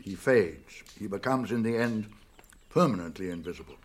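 A British actor playing a wise, kindly old wizard in a radio drama, speaking gravely and deliberately with thoughtful hums. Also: male voice, on the low side, lively intonation. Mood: grave, ominous. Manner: deliberate, pausing, murmuring hums and chuckles.

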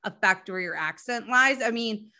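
A woman's voice: medium pitch, lively intonation, normal speed, pushed and bright. A woman from southern New Hampshire hosting a casual chat show, chatty and laughing easily as she reads listener questions. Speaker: podcast host.

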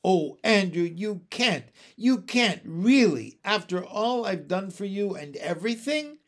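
A man's voice: fairly high pitch, sing-song, slow, exaggerated voice. A man voicing a fussy, haughty lady dog owner in a storybook, indignant and wailing in her exclamations. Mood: distressed, pleading.

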